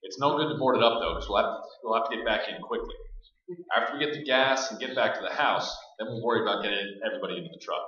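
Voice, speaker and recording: A man's voice: fairly low pitch, fast, bright, forceful tone. A man playing a practical, urgent leader of a group of survivors in a staged radio play. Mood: commanding. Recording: very echoey stage, distant, muffled band.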